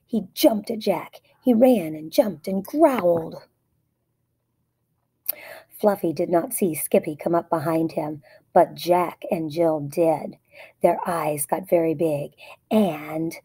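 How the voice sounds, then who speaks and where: A woman's voice: lowish voice, warm tone, husky. A woman narrating a children's story aloud in short, plainly phrased sentences. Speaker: storybook reader.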